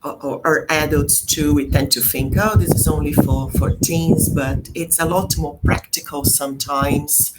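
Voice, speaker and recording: A woman's voice: sits low, steady tone, very noisy. A woman from Brazil, a Portuguese speaker, chatting patiently as a language teacher on a video call. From Brazil, Rio de Janeiro. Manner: slow, patient, laughs often. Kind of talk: casual discussion, teaching words.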